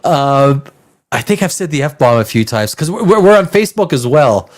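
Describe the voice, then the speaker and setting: A man's voice: a little high, animated, moderate pace. A man in his fifties with a North American accent, chatting off the cuff as a livestream host, emphatic and profane, often repeating his phrases. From Canada, born in Czech Republic. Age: 50s. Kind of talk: casual unscripted livestream chat.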